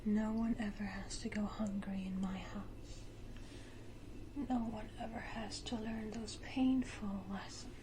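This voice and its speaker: A woman voicing a proud, condescending vampire matriarch in a breathy, deliberate ASMR whisper, with theatrical pauses and close to the microphone. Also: medium pitch, steady tone, noisy background, natural voice. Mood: tender, proud. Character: powerful female vampire matriarch, menacingly tender, proud, condescending.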